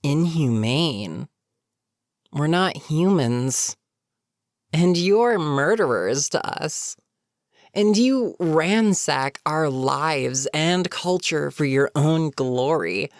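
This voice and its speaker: A voice actor playing a bitter, menacing goblin captain in a fantasy audio roleplay, speaking to a captive with sardonic, unhurried menace. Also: medium pitch, lively intonation, no echo, studio quality. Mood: resentful. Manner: sardonic, unhurried, matter-of-fact threats. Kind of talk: scripted fantasy audio roleplay, addressing the listener.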